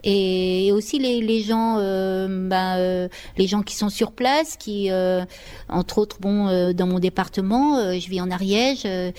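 A middle-aged woman speaks as an interview guest. Her voice sits at an average pitch. She answers off the cuff, thoughtfully, pausing to hunt for the right words. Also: darkish tone, smooth. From Paris, France. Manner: hesitant, searching for words, reflective. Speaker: interview guest, children's songwriter.

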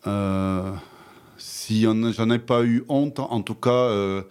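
A man with a southwestern French accent, a radio guest who speaks hesitantly in conversation and recites his own poem in a measured rhythm. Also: on the low side, darkish tone, clean tone, no echo. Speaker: guest author.

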